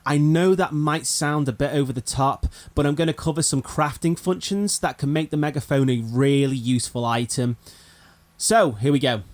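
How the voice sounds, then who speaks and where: A man's voice: on the high side, normal speed. A man narrating an informal gaming explainer, talking directly to viewers in a conversational way. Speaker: video presenter of a gaming concept. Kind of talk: informal explainer narration of a game item idea.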